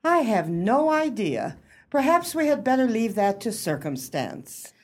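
Posh club hostess lady, very high voice, swoopy, formal n gracious, radio play. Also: very animated, unhurried. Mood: polite, fussing. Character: genteel, eager society hostess of a ladies' culture club.

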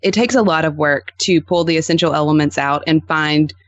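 A woman chatting casually as a podcast guest, sprinkling her anecdotes with filler phrases like an excited storyteller among friends. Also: low voice, a little fast, dry recording, dull audio. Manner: animated anecdotes peppered with "like" and "you know". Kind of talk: casual interview chat.

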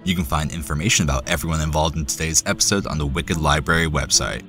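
A man's voice: a bass voice, quick pace, a bit bright, soft background music. A man hosting a fiction podcast, reading a scripted introduction. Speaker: podcast host.

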